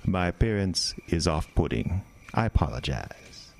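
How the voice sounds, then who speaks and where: A man's voice: deep voice, very animated, a little slow, gravelly, slight background noise, heavily acted. An actor playing a sly, menacing stranger who corners a boy in the woods at night, with teasing, old-fashioned phrasing. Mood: sly menace. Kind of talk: horror audio drama.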